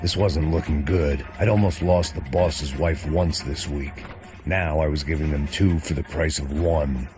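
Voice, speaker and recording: An actor playing a cynical, middle-aged American ex-cop bodyguard in a noir video game, deadpan and terse. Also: male voice, a bass voice, measured pace. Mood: sardonic, brooding. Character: world-weary, hard-drinking ex-cop turned bodyguard, brooding and sardonic. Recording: loud music under the voice.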